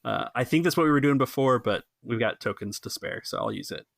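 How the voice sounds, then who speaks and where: A man's voice: mid-range voice, lively intonation, brisk, vocal fry, no echo, clean studio sound. A man acting as game master, narrating and explaining a tabletop game in an easygoing, casual way.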